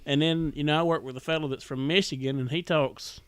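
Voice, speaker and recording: A man's voice: a little high, steady tone, brisk, clear, resonant tone, dry recording. A man with a Southern US accent chatting casually as a podcast host, telling things in a folksy, rambling way. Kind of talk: casual chat.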